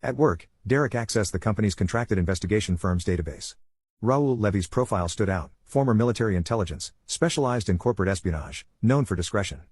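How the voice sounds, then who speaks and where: Fast-talking man with a low, husky voice. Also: lively intonation, a little mellow, no echo, studio quality. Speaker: story narrator. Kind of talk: scripted narration of a drama story.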